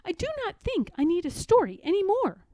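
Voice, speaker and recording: A mild little frog from a children's storybook, voiced by a woman reading aloud, curious and lilting. The pitch is high and swoops widely, with a gentle mellow tone. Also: big pitch swings, a little fast, dry recording, muffled recording. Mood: gentle, brighter.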